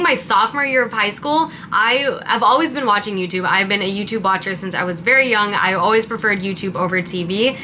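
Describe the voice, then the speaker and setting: A bright-voiced woman in her late twenties chats in a casual get-ready-with-me vlog, rambling happily from one thought to the next at a middling pitch. Faint background noise sits under her voice. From Montana, raised in Southern California. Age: 20s. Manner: rambling, chatty and upbeat. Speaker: content creator.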